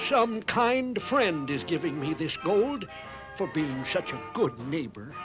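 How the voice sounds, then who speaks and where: A man playing greedy, foolish cartoon fable characters in an exaggerated, drawn-out, exclamatory way. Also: on the high side, sing-song, over music, poor audio. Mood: self-satisfied. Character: greedy, gloating wolf and foolish greedy farmer. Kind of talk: theatrical cartoon fable acting.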